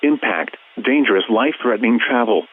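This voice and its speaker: A synthetic male announcer reading a scripted emergency weather bulletin in a formal, measured way. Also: medium pitch, animated, breathy edge. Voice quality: synthetic text-to-speech voice. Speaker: automated weather alert announcer. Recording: narrow, tinny weather radio sound.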